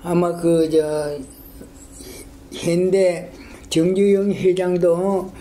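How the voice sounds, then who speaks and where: An older man with a strong Gyeongsang dialect, a retired school principal telling his story in a halting, rambling way in an oral history interview. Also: sits high, level tone, dark tone. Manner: halting, rambling, many fillers and restarts.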